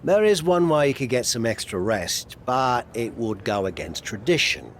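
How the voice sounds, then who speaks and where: A man's voice: medium pitch, expressive, normal speed, a little hiss or hum, natural voice. An actor playing a straight-talking male wrestling mentor giving candid advice in a video game cutscene. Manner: candid, advisory.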